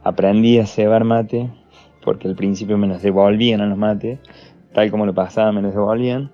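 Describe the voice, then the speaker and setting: A man sharing a personal story in a warm, chuckling way. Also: lowish voice, steady tone, moderate pace. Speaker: interviewee. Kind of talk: personal testimony. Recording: dull lo-fi sound with faint music.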